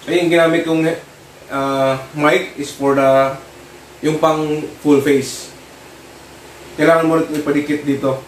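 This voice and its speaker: A Filipino man giving a casual hands-on tutorial, talking informally in short, halting phrases as he works. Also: fairly high pitch, little pitch movement. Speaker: vlogger demonstrating product installation. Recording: roomy, distant mic with clear noise.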